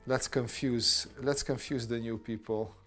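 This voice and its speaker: A man's voice: medium pitch, fairly even intonation, unhurried, fairly bright tone, faint music behind. A man hosting a casual art livestream, chatting with viewers and talking them through drawing steps. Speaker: artist streamer answering chat.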